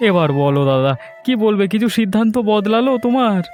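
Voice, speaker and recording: A man's voice: sits high, expressive, brisk, a little mellow. A man voicing a cunning, greedy village fish trader in a cartoon story, falsely friendly and pushy in his persuasion. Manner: persuasive, pushy, smooth-talking. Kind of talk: Bengali cartoon story voice acting. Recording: music bed under the voice.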